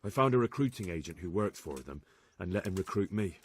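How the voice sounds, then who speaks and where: A man's voice: low voice, lively intonation, brisk, breathy edge. An actor voicing an earnest, respectful young man-at-arms in a medieval video game cutscene. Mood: grave, reporting. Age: young adult.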